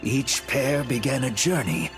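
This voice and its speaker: A man playing a solemn narrator in a video game, telling an epic legend in a deliberate, dramatic scripted style. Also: mid-range voice, animated, natural voice. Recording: music behind the voice. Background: background music.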